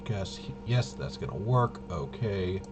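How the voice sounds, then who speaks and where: Over clear background noise and keyboard clicks, a man talks himself through a tabletop roleplaying session. His voice sits fairly low and he takes his time, muttering his reasoning aloud in a casual play-by-play. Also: lively intonation, unhurried. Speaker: solo tabletop game player and host.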